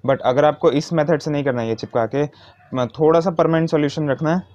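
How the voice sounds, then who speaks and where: A man's voice: fairly high pitch, a little fast, a little mellow, a little hiss or hum. A man giving an informal hands-on tutorial step by step, mixing in English words. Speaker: motovlogger giving a how-to demonstration. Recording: dull, muffled lo-fi sound.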